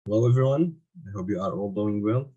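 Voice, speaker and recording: A young man from Syria, just graduated in engineering, presents his team's project over a video call. He reads a prepared pitch at a steady pace, with a few grammar slips along the way. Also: a little fast, slightly rough, muffled recording. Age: young adult.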